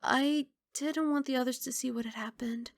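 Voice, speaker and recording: A woman playing a young, sweet, apologetic giant dragon girl in an intimate ASMR roleplay, soft and coaxing with hesitant rambling. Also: sits high, fairly even intonation, moderate pace, creaky, no room sound, studio quality. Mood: sheepish. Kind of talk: ASMR roleplay, intimate one-sided dialogue.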